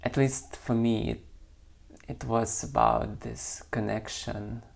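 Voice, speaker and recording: A man whose first language is not English, reading his own poem and then musing about it slowly and hesitantly, searching for words. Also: on the low side, fairly even intonation, creaky. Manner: slow, searching, hesitant with many pauses. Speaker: poet and channel creator.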